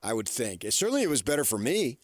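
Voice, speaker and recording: American man talking sports, halting and dry-miked. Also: mid-range voice, very animated, no echo. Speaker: sports radio co-host. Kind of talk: casual sports talk opinion. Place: radio studio. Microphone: close studio microphone.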